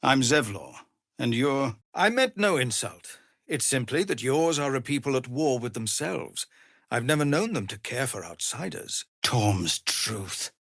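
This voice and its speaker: A male actor playing a weary, honourable leader of embattled refugees in a video game, grave, measured and formal, with a husky voice that turns gravelly under strain. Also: mid-range voice, sing-song, fairly bright tone, no room sound, clean studio sound, heavily acted. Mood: solemn, frank. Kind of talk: dramatic game dialogue.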